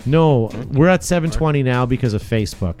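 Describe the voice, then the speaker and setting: A man's voice: mid-range voice, expressive, a little fast, a little mellow, faint music behind. A man hosting a podcast in casual chat, talking loosely with easy laughs and trailing sentences. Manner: chatty, laughs easily, trails off. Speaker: podcast host. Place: small podcast studio.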